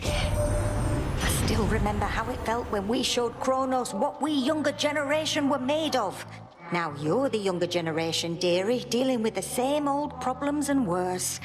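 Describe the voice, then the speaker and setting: A woman's voice: on the high side, animated, unhurried, music in the background. A woman voicing a wry, motherly old goddess in a video game, who fondly calls the listener dearie. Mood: nostalgic.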